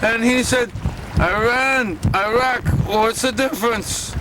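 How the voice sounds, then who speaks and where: A man doing a slow, halting immigrant storyteller. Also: very high-pitched. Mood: deadpan. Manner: halting, filler-heavy deadpan anecdote. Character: immigrant man recounting a run-in with police. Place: aboard a boat. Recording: boat noise behind.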